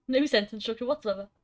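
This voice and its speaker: A giggly, excitable woman co-hosting and reacting to a reading with casual banter. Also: on the high side. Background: faint music.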